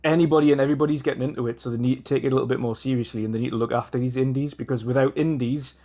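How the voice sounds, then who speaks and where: A man's voice: medium pitch, steady tone, rapid-fire, dark tone. A man with a Geordie accent, co-hosting a casual gaming podcast and arguing his point earnestly, with frequent ums and restarts. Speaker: podcast co-host.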